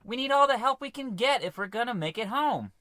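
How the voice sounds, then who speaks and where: A man's voice: very high-pitched, animated, brisk, bright, forceful tone, no room sound, studio quality, full character voice. A man playing an earnest, dutiful space crewman, formal and dramatic, in an old-time radio comedy. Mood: worried.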